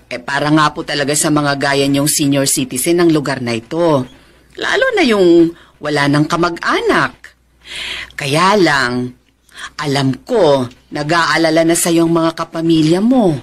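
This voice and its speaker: An actress playing a kind, patient senior center worker in a Filipino radio drama, gently coaxing and polite. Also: female voice, low-pitched, expressive, unhurried, pauses often. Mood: patient, concerned.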